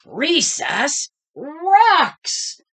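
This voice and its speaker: A woman voicing an overexcited young boy shark with loud, bellowing enthusiasm in a storybook reading. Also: high-pitched, wildly swooping pitch, extremely slow, voice pushed up, full character voice. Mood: excited, loud.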